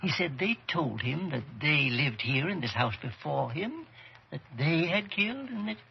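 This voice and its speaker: A man playing the frail, tormented ghost of an old man in a radio horror drama, halting and gasping in a weak, creaky voice. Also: a little high, very animated. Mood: haunted. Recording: dull, hissy old radio recording.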